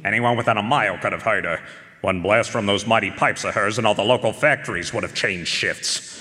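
An actor playing a wry, hard-boiled private detective, narrating and questioning in a live radio drama. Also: male voice, fairly low pitch, fairly quick, sharp tone. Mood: dry narration. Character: wry male private eye narrating his own case. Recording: reverberant hall, music underneath. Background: music.

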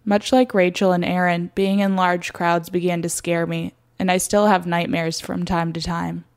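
A woman's voice: on the low side, level tone, normal speed, clean tone. A young woman reading a scripted first-person documentary narration. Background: music.

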